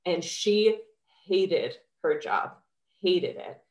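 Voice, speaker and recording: A young woman with a cold speaks in a somewhat low, nasal voice. She talks eagerly and casually, full of "um"s and "you know"s. The sound is roomy and echoey. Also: expressive, extremely slow, a loud crowd. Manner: chatty, enthusiastic, many fillers. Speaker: skincare founder, guest. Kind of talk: casual podcast interview.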